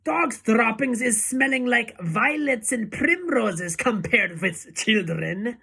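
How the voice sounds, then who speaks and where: A tiny tyrant of a witch, fiendish and screeching in a high, swooping voice. She barks commands in mangled grammar, with a rough edge, as a storybook villain. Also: expressive, slow, pushed and bright, heavily acted. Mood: disgusted, furious. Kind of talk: dramatic audiobook character acting.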